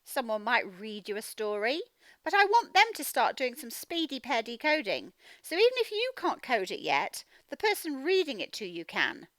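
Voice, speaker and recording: A woman teacher giving a children's read-aloud phonics lesson, speaking in an animated, emphatic, instructional way and sounding out words. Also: a little high, moderate pace, no room sound. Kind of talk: children's read-aloud lesson, explaining directly to young learners.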